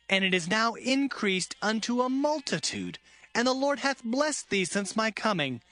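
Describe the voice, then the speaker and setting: A man performs a resolute herdsman of ancient times for an audio Bible drama. His voice is on the high side and animated, with earnest, emphatic delivery. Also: exaggerated voice. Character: Jacob, earnest, resolute biblical herdsman and husband.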